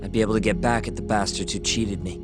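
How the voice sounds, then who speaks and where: A man's voice: fairly low pitch, fairly even intonation, fast talker, over music, natural voice. An actor playing a cool, self-assured young male hacker in a naturalistic video game scene. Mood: bitter. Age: young adult.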